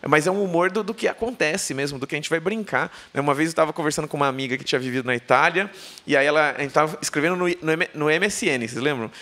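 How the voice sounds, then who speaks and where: A woman's voice: low-pitched, quick pace, fairly bright tone. A woman author talking on a book fair stage before an audience, playful and digressive, often turning to ask the crowd questions. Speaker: author being interviewed. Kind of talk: live conversation on stage.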